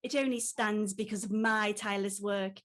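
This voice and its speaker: A woman's voice: mid-range voice, steady tone, moderate pace, a bit bright, lightly acted. A woman playing a self-important, put-upon boss with a haughty, long-suffering, melodramatic manner in a comedy drama. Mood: self-satisfied.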